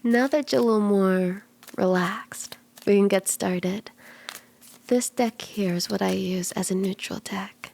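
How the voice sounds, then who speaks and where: A woman voicing a cute, friendly kitsune tarot mystic in an ASMR roleplay, gentle, playful and unhurried, soft-spoken and often slipping toward a whisper. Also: mid-range voice, vocal fry. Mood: calm, hushed. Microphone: close ASMR microphone in a dry space.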